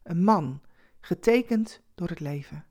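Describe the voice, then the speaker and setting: Expressive woman narrating a story. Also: on the low side, a little slow. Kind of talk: scripted dramatic narration of a Christmas story.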